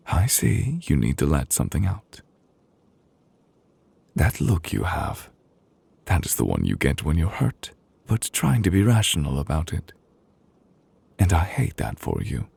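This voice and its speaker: A man playing a solemn, devoted fantasy judge in an intimate ASMR roleplay, speaking gently and deliberately in a husky voice, comforting his beloved. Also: a bass voice, wildly swooping pitch, no echo, full character voice. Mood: tender, concerned. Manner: slow, deliberate, gently reassuring with pauses. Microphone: close, dry studio microphone.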